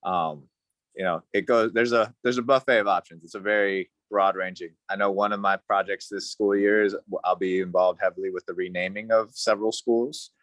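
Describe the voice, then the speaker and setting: Deep-voiced man explaining his job. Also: steady tone, a little fast, fairly bright tone, no room sound. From El Salvador. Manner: friendly, earnest, thinks aloud. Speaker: school district family engagement staffer. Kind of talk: introducing himself at a virtual community meeting. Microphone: video call.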